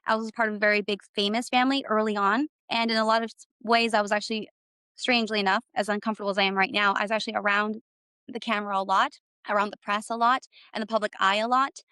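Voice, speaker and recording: Reflective woman from Canada, smooth voice. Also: medium pitch, steady tone, fast, pushed and bright, no echo. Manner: reflective, hesitant with fillers. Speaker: interview guest. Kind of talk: personal interview conversation.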